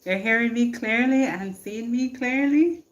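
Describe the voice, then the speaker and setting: A woman's voice: fairly high pitch, a little slow, dull audio. A Jamaican woman hosting a live stream, chatting casually and answering viewer comments. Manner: reads viewer comments aloud and answers them, laughs often. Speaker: livestream host. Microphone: phone.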